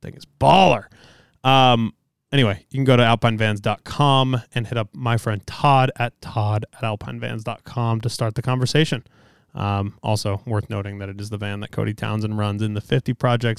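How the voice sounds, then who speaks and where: A man's voice: fairly low pitch, gravelly, clear, resonant tone, dry recording, clean studio sound. A man hosting a podcast, talking loosely with lots of fillers and swearing, and tired after a long day. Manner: loose and profane, many fillers. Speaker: podcast host. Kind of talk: casual podcast talk with ad reads.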